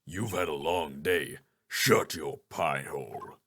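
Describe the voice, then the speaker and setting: An older, ice-cold prosecutor from a video game. He is gravelly and slow, bearing down with contemptuous commands over background music. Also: a bass voice, a bit bright, pitched below the performer's own voice, exaggerated voice. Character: stern, domineering, cold male prosecutor, older.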